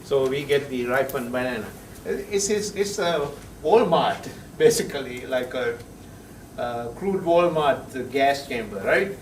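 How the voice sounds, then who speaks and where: A male chemistry professor, lecturing a class in a halting way, with many pauses and frequent checks of "right?". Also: medium pitch, lively intonation, far from the mic, slight background noise. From Sri Lanka. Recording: echoey classroom heard from afar.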